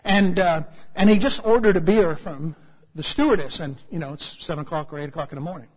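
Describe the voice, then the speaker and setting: Husky American preacher in a big hall. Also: male voice, a little high, fairly quick. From United States. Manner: humorous, animated storytelling with asides. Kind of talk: storytelling sermon.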